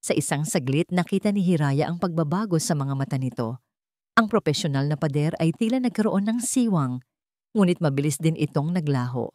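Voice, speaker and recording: A woman's voice: sits low, expressive, fairly quick, mellow, dry recording, clean studio sound. A woman with a Filipino accent reading a scripted dramatic story as its narrator.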